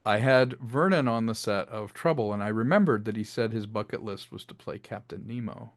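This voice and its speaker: A man's voice: on the low side, a little fast, no room sound, studio quality. A man speaking as an interview guest, telling stories about his creative work in a casual, chatty way with rambling asides. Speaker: interview guest, filmmaker and audio drama creator.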